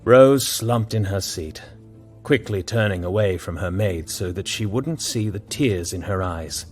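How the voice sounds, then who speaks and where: A man's voice: low-pitched, unhurried, soft background music. A man narrating a scripted audio drama as a dramatic storyteller.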